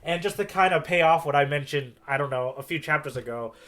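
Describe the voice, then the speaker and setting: Give a man with a slightly high voice, talking as a podcast co-host. He rambles along in casual conversation, with the enthusiasm of a fan. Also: fast, a bit bright. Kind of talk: casual chat.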